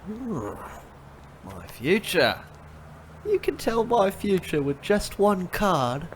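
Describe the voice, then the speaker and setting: Smirking creep with swooping pitch. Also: male voice, very animated, slow pace, a little hiss or hum, heavily acted, low-quality recording. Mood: smirking, teasing. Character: smirking, leering male street predator, fake-friendly schemer. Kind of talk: audio drama acting.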